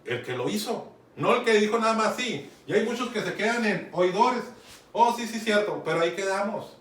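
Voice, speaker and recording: A man's voice: high-pitched, expressive, moderate pace, a bit bright. A man with a Mexican accent preaching a conversational Bible sermon, often checking in with his listeners. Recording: echoey room, from far off, faint noise.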